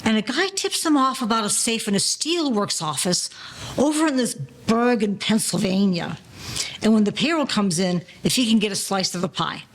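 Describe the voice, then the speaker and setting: A woman playing an aging male gangster safecracker in an audio play, telling stories in slangy, mock-formal 1940s style with quiet menace. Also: mid-range voice, animated, normal speed, rough recording. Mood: wily storytelling.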